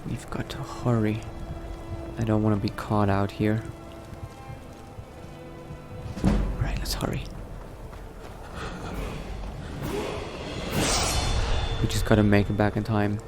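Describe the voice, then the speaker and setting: A man playing a cautious, brooding newly turned vampire, thinking aloud in hushed, halting phrases in a video game roleplay. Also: on the low side, flat delivery, music in the background, lightly acted. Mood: tense, urgent. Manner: hushed, halting self-talk with sighs and pauses. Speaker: roleplay gamer. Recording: noticeable background noise.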